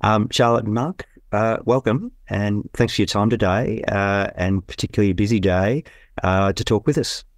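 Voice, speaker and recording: A man's voice: lowish voice, moderate pace, dry recording, studio quality. A man hosting a podcast interview, introducing guests and asking questions with frequent um and uh. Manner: many fillers and restarts while introducing guests. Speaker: podcast host.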